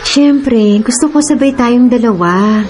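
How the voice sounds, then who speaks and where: A woman's voice: on the high side, unhurried, close to an everyday voice. An actress playing a devoted young girlfriend, tender and soothing, with a Filipino accent in a radio drama. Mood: tender coaxing. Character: devoted, caring young girlfriend consoling her boyfriend.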